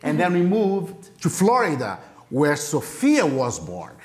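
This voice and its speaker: A man's voice: on the high side, huge pitch swings, slow pace, a little mellow. A man from Brazil, whose first language is Portuguese, speaking English with a Brazilian Portuguese accent as a missionary pastor giving a church presentation with self-deprecating jokes.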